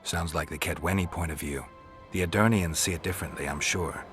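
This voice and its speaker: Gruff mercenary monster hunter, fantasy game. Very deep, gravelly, flat and unimpressed delivery. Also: male voice, fairly quick, soft background music. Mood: dry, probing. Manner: terse, deadpan. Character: gruff, stoic male monster hunter for hire. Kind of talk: video game dialogue acting.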